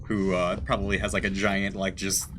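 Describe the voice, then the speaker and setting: A man's voice: sits low, little pitch movement, moderate pace, a bit bright. A man playing in a tabletop game, describing his moves in casual, improvised chat, with room noise behind him.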